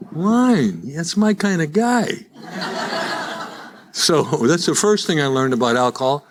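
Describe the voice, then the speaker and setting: A man in his seventies with a Chicago accent, giving live personal testimony in a wry, folksy, conversational storytelling way. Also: a little high. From Chicago, USA. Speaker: guest speaker at a recovery meeting. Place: live in-person meeting before an audience.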